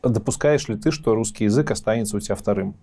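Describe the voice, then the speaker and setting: A man's voice: fairly low pitch, fast talker, creaky, warm tone, slightly rough. A man interviewing a guest in a casual conversation, putting probing and challenging questions to her.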